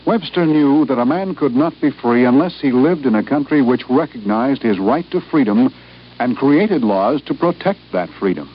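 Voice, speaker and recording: A man's voice: mid-range voice, lively intonation, moderate pace, slightly rough. A man reading a scripted 1950s patriotic radio announcement in a solemn, oratorical manner. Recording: muffled old radio recording, faint noise.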